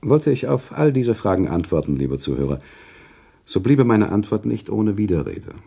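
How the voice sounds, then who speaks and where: A deep, gravelly male author in a literary radio play. He is earnest and reproachful, phrasing formally with wide, expressive swings of pitch. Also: moderate pace, full character voice. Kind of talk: German radio play dialogue, literary storytelling.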